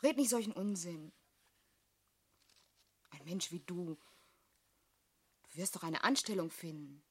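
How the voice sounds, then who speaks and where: An actress playing an anxious, devoted woman in a German radio drama, husky and breathy, earnest and intimate. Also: on the low side, animated, slow pace, exaggerated voice. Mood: anxious, urgent. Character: anxious devoted woman, gentle, sharing his despair.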